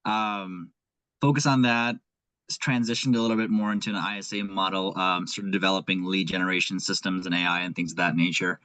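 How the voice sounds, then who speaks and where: A young man with a Nepali accent, talking as a guest in an earnest, thinking-aloud way. His voice sits fairly low. The sound is close and dry. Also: steady tone, fairly quick, sharp tone, dry recording, rough recording. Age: young adult. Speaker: guest, aspiring investor. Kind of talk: casual conversation.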